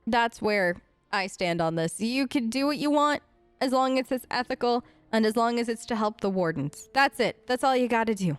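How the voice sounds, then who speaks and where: High-voiced, playful woman gamer. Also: animated, moderate pace, smooth, no room sound, faint music behind. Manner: playful, informal, chatty. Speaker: gaming host. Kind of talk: in-character role-play let's play commentary.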